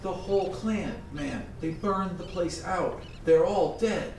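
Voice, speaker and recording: A man's voice: high-pitched, slow, darkish tone, roomy sound, recorded from a distance, slight background noise. A man playing a shaken survivor bearing grim news in a tabletop game, terse and repetitive. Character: frantic survivor bringing news of a slaughtered guild.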